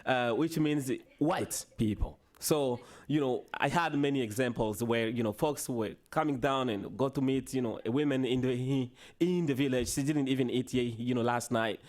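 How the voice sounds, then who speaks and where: A man whose first language is Swahili gives a conference presentation with slides, in a halting way full of restarts and "you know" fillers. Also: mid-range voice, darkish tone. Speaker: conference panelist. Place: conference hall.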